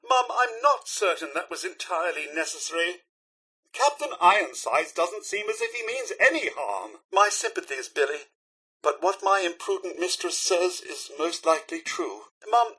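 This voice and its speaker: Fussy British robot butler, high-pitched and raspy. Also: male voice, pushed and bright, heavily acted. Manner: posh, fussy, theatrical, deferential. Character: prim, fretful, loyal robot butler. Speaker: robot servant companion. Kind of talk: video game character dialogue.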